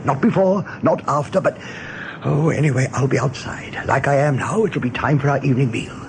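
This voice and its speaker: A man playing a brave, urgent rabbit captain in a 1980s radio play, intense and persuasive, with a husky, breathy voice. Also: medium pitch, brisk, a little hiss or hum, natural voice. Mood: tense, urgent. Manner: intense, persuasive, often hushed.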